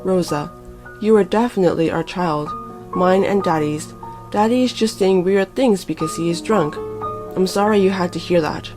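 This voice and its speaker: A woman's voice: fairly low pitch, normal speed, music in the background, lightly acted. A woman voicing an earnest wronged wife and mother in a story, firm and controlled. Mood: reassuring. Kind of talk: dramatised story reading.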